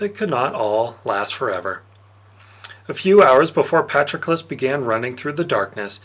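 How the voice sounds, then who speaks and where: A man reads a story aloud as an audiobook narrator. His mid-pitched voice moves up and down in a lively way, giving the narration an animated, expressive storytelling feel. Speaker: reader of an audiobook.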